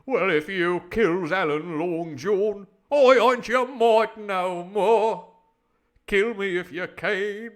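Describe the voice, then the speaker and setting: A man playing an honest, defiant sailor in a storybook, with slow, drawn-out, rustic pirate speech. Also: high voice, pitched above the performer's own voice. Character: stout-hearted honest old sailor, defiant to the death.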